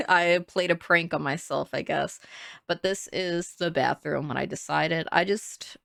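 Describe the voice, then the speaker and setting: A young woman talks in a low, gravelly voice with a nasal, congested edge. She rambles in a chatty way and laughs often, recorded dry and close with no room sound. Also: a bit bright, no echo. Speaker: Sims builder narrating a speed build. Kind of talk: casual voice-over commentary.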